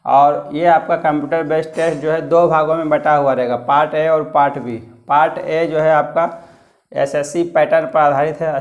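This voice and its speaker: A man with an Indian accent explaining exam guidance as a coaching teacher, repeating and rephrasing his points in two languages. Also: fairly high pitch. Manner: repeats and rephrases points, switching between Hindi and English.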